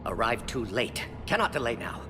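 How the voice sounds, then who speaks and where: An actor playing a brisk, brilliant aging alien scientist in a video game, speaking in clipped, telegraphic phrases that drop small words. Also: male voice, mid-range voice, very animated, natural voice. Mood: regretful, grave. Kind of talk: video game cutscene acting. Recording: long hall reverb, music behind.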